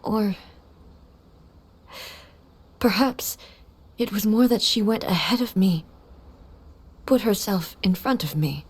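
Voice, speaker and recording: A woman playing a solemn, grieving immortal ruler in a video game, speaking formally and softly with measured composure. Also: mid-range voice, animated, breathy edge. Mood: sorrowful. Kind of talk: dramatic video game voice acting.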